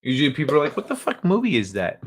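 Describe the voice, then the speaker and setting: A man hosts a casual live stream in a slightly higher than usual voice. He rambles and jokes loosely, swears freely and laughs often. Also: huge pitch swings, racing, slightly rough. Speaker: livestream host. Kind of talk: casual live-chat talk.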